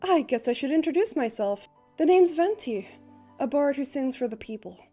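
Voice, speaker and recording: A woman's voice: high-pitched, expressive, moderate pace, dark tone. A woman voicing a playful, poetic young male bard in a comic dub, with a lilting, theatrical delivery over music. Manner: lilting, theatrical, speaks in rhymes. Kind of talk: dramatized fan comic dub.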